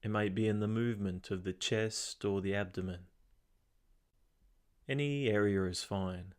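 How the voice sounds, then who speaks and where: A man with a fairly low voice leads a guided meditation. He gives calm, measured instructions and leaves long pauses between phrases.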